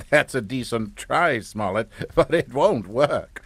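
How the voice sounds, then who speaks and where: A man's voice: medium pitch, lively intonation, slow, a bit bright, breathy edge, no room sound, exaggerated voice. An actor playing a domineering, coolly scheming middle-aged father in a radio drama, with a pompous, condescending delivery. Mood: pompous, dismissive.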